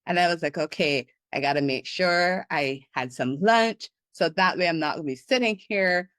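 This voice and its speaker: Lively laughing woman from Barbados. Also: lowish voice, normal speed, dry recording, studio quality. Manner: animated, laughs often, acts out her own inner thoughts. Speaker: business consultant guest. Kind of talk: casual interview talk.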